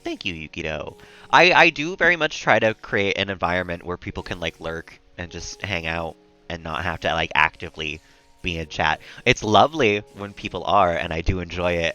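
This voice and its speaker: A man chatting casually and calmly as he streams a video game, rambling a little as he plays. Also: sits low, smooth, rough recording. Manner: calm, relaxed, rambling. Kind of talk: casual chat while gaming.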